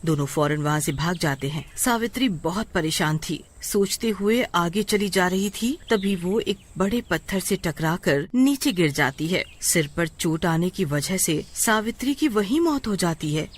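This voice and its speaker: A woman's voice: fairly low pitch, expressive, a little slow, few pauses. A woman reading scripted narration for a cartoon story.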